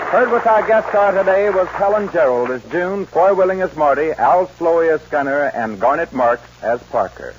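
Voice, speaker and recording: A man's voice: fairly high pitch, expressive, moderate pace, few pauses, breathy edge. A man with an American accent hosting and announcing a scripted 1940s radio show. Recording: muffled vintage radio broadcast, music behind.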